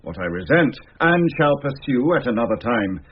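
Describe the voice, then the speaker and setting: An actor playing an irascible titled gentleman in a radio crime drama, imperious and clipped. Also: medium pitch, big pitch swings, normal speed, slightly rough. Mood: haughty. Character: haughty, irascible titled male employer. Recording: dull, hissy old radio recording with clicks.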